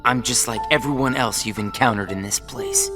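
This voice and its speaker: A man voicing a cold, taunting young male rival in a game cutscene, who bursts into furious shouting. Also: medium pitch, normal speed, close to an everyday voice. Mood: bitter. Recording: game audio with long reverb under loud music.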